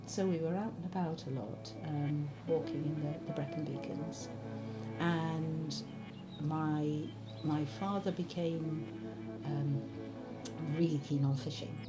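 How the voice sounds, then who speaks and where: A woman, thoughtfully reminiscing in a documentary interview. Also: low voice. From Wales. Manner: thoughtful, unhurried, warmly reminiscing. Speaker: interviewee, spinner and knitter. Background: background music.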